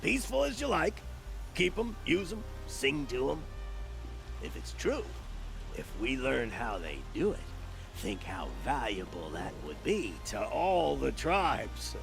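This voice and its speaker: Shifty male gambler-merchant for a game: slow, gravelly, swooping sales patter, blustering and hesitant. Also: medium pitch, very animated, over music, full character voice, rough recording. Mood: grumbling, scheming. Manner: hesitant, stammering, throat-clearing, sly salesman patter. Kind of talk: video game dialogue acting.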